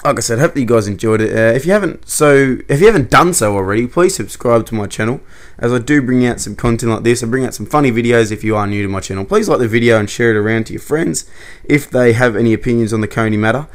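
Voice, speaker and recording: A man's voice: mid-range voice, fast talker. A young man, giving a casual, rambling opinion commentary to camera. He corrects himself often and laughs a little. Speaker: YouTuber.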